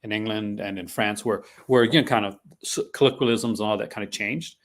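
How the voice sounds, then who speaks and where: A man's voice: on the low side, a little fast. A Canadian man, a podcast host with a mixed Canadian accent partly from Newfoundland, chatting casually and rambling through restarted sentences. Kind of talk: casual chat.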